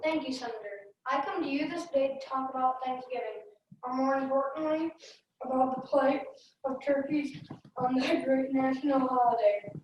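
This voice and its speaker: A woman's voice: high-pitched, moderate pace, highs cut off. A young girl reading a scripted part in a readers theater play. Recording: echoey room, distant mic, hiss.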